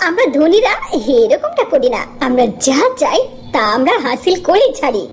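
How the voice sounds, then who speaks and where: A woman's voice: high voice, big pitch swings, normal speed, faint music behind. A woman playing a haughty young rich woman in a cartoon, taunting the poor with sneering mock pity. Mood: gloating. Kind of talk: animated story voice acting.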